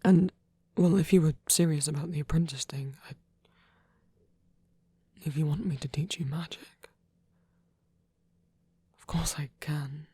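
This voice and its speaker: Someone doing a soft shy vampire priest guy for asmr, super breathy n whispery, dark mellow tone, hesitant and tender. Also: medium pitch, steady tone, unhurried, pauses often, no echo. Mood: hesitant, hopeful. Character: gentle, shy, devoted vampire priest, protective male lover. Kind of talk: intimate ASMR roleplay.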